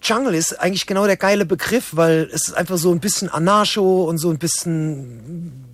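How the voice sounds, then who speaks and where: A man's voice: fairly high pitch, fairly quick. A man telling anecdotes in a casual interview, often repeating and restarting his words as he goes. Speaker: interview guest, drum and bass musician and event participant.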